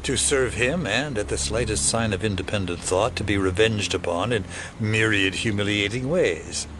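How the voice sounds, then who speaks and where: A man's voice: on the low side, normal speed, lightly acted. Condescending scheming conspirator, played by a man, noisy backdrop. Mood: grave, conspiratorial. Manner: formal, condescending, verbose. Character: cold, scheming older conspirator, a clone of himself. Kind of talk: audio drama acting. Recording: clearly noisy background ambience.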